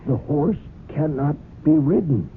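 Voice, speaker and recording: An actor playing a paternal, firm older judge, measured and admonishing, in old-time radio drama style. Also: male voice, fairly high pitch, lively intonation, narrow, tinny audio. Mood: grave, insistent. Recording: thin old radio broadcast, faint noise.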